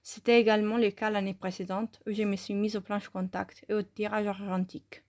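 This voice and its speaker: Lady reading her own interview in french, calm and measured, mid pitch. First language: Italian. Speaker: photography student presenting her work. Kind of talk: reading a scripted self-interview.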